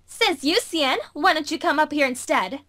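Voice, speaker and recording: A woman's voice: high-pitched, normal speed, a bit bright, pitched above the performer's own voice. A woman voicing an irritable, cocky young girl mage in an amateur anime visual novel dub, with bratty, exclamatory delivery. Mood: irritated.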